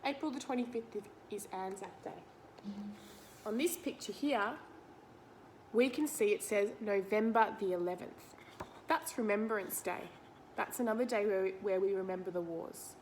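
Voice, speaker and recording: A woman's voice: mid-range voice, normal speed, darkish tone, a little hiss or hum, poor audio. A woman reading a picture book aloud to children, explaining it simply and putting questions to the listener. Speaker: read-aloud teacher.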